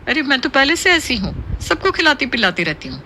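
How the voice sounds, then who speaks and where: A woman's voice: sits high, very animated, fairly quick, sharp tone, slight background noise, full character voice. A woman voicing a chatty, boastful middle-aged neighbour aunty in a cartoon, talkative and insistent. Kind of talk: Hindi cartoon moral-story voice acting.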